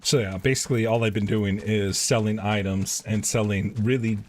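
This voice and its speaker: A man live-streaming a video game, chatting casually and reading quest text aloud in an informal, halting way. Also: fairly low pitch, fairly even intonation.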